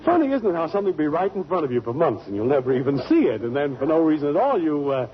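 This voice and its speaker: A man's voice: a little high, sing-song, fast talker. An American man acting a sheepish, flustered middle-aged father in a 1940s radio comedy, hesitant and blustery. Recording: noisy, muffled 1940s radio recording.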